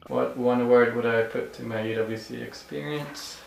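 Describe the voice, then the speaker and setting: Gravelly monotone guy from malaysia introducing himself, echoey room. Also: medium pitch, moderate pace, slight background noise.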